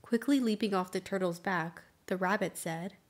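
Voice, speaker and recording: A woman reads a folktale as its narrator. Her pitch sits in the middle range, and she phrases the scripted story in a measured, clear way.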